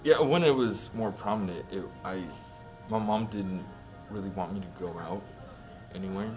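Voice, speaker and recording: Young guy, lowish voice, casual halting interview talk, music clearly playing behind. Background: clear background music.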